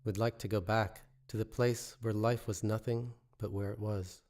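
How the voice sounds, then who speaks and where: A man reciting his own poetry in a measured, deliberate way, pausing between phrases. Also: lowish voice, steady tone, darkish tone. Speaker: poet reading own work. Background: musical score.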